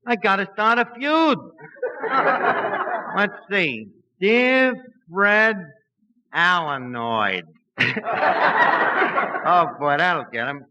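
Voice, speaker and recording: Small-time scheming tavern manager, uneducated wise-guy talk, high and lively, slightly noisy vintage radio recording. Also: extremely slow, long pauses, dull audio, heavily acted. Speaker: comic lead in a radio sitcom. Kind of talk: 1940s radio comedy acting. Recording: old 1940s radio recording, light noise.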